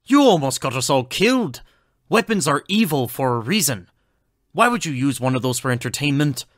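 A man playing a courteous, earnest 1920s gentleman scientist in an audio drama, speaking politely, formally and precisely. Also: mid-range voice, very animated, normal speed, no room sound, clean studio sound, natural voice. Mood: scolding.

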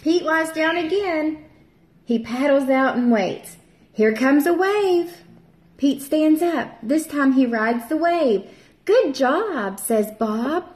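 Slow, lively woman teacher reading aloud. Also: high-pitched. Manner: slow, expressive read-aloud, bright voices for the characters. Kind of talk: reading a picture book aloud to young children. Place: home room, seated at a table with a child. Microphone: camera at table distance. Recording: echoey room.

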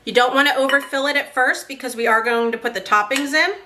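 Casting: woman, 30s, home cook, bright clear tone, slightly high, chatty step-by-step recipe delivery. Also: quick pace, a little hiss or hum. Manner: friendly step-by-step instruction. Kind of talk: cooking demonstration. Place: home kitchen, indoors.

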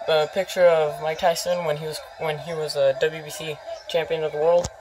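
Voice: medium pitch, flat delivery, background noise. Casual off-screen tour guide with many ums. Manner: unhurried, lists items, many ums and uhs. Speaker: vlogger giving a home tour. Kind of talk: casual walkthrough narration. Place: indoor room full of posters and memorabilia.

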